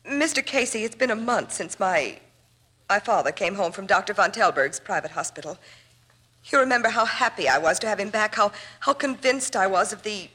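A genteel young heiress in a radio play, anxious and formal. Her bright voice has a husky edge, and she hesitates and stammers over her words. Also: medium pitch, lively intonation, normal speed. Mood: fearful, confiding. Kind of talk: old-time radio drama acting.

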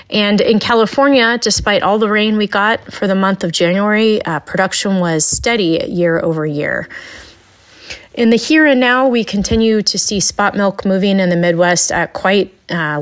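A woman giving a weekly dairy market report in a conversational way, with frequent "uh" and "um" fillers. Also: fairly low pitch.

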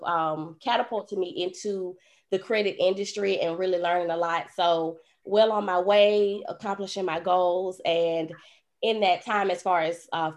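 A young woman talks on a podcast. She tells her story in a chatty, lively way, with an easy conversational flow. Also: medium pitch. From Birmingham, Alabama. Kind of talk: casual podcast chat.

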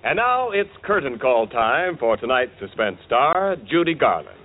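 Animated 1940s radio pitchman, tinny broadcast. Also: a little slow, slight background noise. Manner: polished, emphatic sales delivery. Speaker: radio announcer and sponsor pitchman. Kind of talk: 1940s radio advertising announcing.

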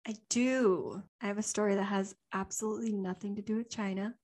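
This is a young woman co-hosting a casual podcast, speaking at a middle pitch. She tells her story unscripted, rambling from one point to the next with frequent ums and easy laughter. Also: fairly even intonation, a little fast, a little mellow. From Louisiana. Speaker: podcast co-host.

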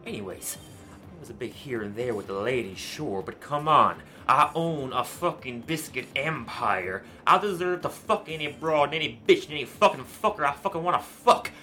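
A man's voice: mid-range voice, animated, normal speed, sharp tone, faint music behind. A man playing a pompous, crude biscuit heir and war veteran in a darkly comic radio play, with a theatrical delivery. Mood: defiant.

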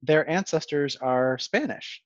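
A man's voice: fairly high pitch, unhurried, no echo. A male podcast host who stutters and circles back over his words while he chats. The sound is dry and a bit dull and lo-fi. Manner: stuttering, restarts phrases often. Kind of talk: casual conversation.

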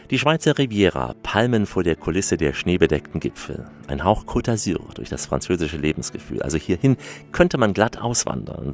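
A man presenting a radio travel feature, narrating calmly and then chatting with a guest on an outdoor terrace. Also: low-pitched. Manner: calm narration, chatty questions. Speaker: radio travel reporter and host.

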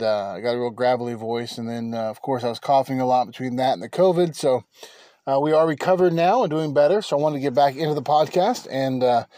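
Halting man preaching from his car. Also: mid-range voice, dark tone, no room sound, studio quality. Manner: halting, many fillers and restarts. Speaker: podcast host and preacher. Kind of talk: casual podcast Bible teaching. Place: inside a car.

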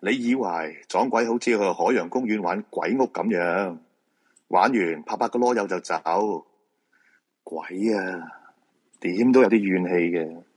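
A man acting a weary, grumpy feng shui master in a Cantonese radio drama, gruff and dismissive, with a Hong Kong accent. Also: sits low, measured pace.

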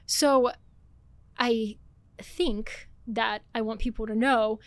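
Woman in her 30s, from bulgaria, chatty and animated, dry close sound. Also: on the high side, no echo. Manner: animated, fast-talking, laughs often. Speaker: guest author. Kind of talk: casual podcast conversation.

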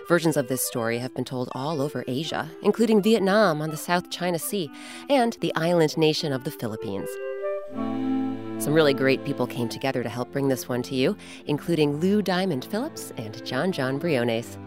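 Animated low-voiced woman storyteller. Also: normal speed, over music. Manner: dramatic, emphatic phrasing. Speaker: host and narrator. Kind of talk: scripted children's storytelling narration.

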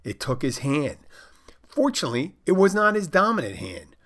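A man's voice: fairly high pitch, big pitch swings, normal speed, fairly bright tone, voice pushed up, exaggerated voice. A man voicing a naive fourteen-year-old Polish expat boy, reading out stilted, overly formal text messages. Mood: earnest deadpan.

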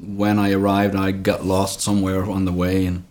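A man's voice: low-pitched, monotone, moderate pace, darkish tone. A man in his forties from Sweden, a stage actor chatting as an interview guest, hesitant with many fillers and restarts. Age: 40s. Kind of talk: casual interview conversation.

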